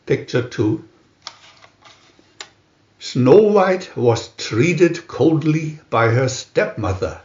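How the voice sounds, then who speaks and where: A man narrates a storybook at an extremely slow, deliberate pace. His mid-pitched voice moves in lively swings between measured pauses. The recording is roomy and somewhat muffled. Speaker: storybook reader. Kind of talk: scripted storybook narration, reading picture-reel captions. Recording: roomy, dull lo-fi sound.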